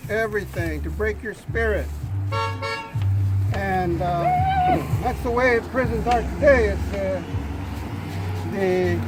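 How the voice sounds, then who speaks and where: An older man speaking loudly and plainly at an outdoor protest rally, pausing often with fillers. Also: very high-pitched. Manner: loud, plain-spoken, halting with fillers. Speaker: rally speaker, former prisoner. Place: outdoors at a street demonstration. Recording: noisy outdoor street recording.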